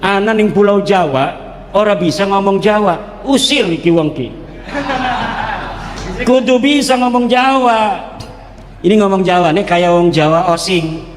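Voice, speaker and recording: A male preacher with a high voice speaks informally in a big reverberant hall. He comes across as boastful, jokey and combative. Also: unhurried, long pauses. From Banten, Indonesia. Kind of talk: informal public speech mixing regional languages. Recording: echoey hall with long reverb.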